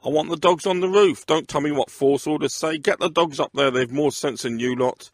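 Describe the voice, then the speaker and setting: A man's voice: a little high, brisk, no echo, voice pushed up. A man playing a bitter, self-important police superintendent in an audio crime drama, commanding and barking out his orders.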